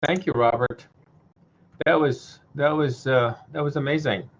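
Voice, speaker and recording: A man in his sixties from Syria, hosting a webinar Q&A, talking hesitantly with many pauses and fillers. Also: on the high side, fairly even intonation. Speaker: webinar host. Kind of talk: casual remarks in Q&A. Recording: dull lo-fi audio.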